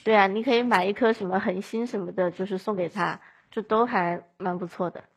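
A woman from Chongqing, China, chatting casually as a podcast guest in an animated storytelling way. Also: medium pitch, brisk, husky.

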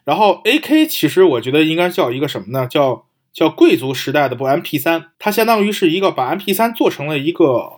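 A man with a somewhat high voice speaks quickly in a casual podcast discussion. He often doubles up words while working out each point as a co-host. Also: big pitch swings. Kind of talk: casual discussion of audio gear.

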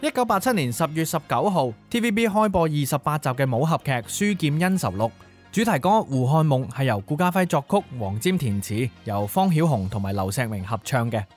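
A man's voice: on the high side, expressive, a little fast. A man co-hosting a music nostalgia programme in a scripted narration style. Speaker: co-host of a Cantopop nostalgia show.

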